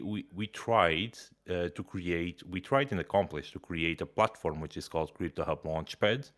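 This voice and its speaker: A man from Portugal, a company executive, talking in relaxed, casual interview style with easy laughs and fillers. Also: deep voice. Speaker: CEO.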